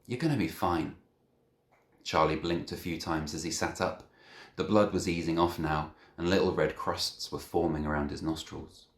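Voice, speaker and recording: A man reading a children's novel aloud as an expressive narrator. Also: extremely low pitch, moderate pace. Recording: echoey room.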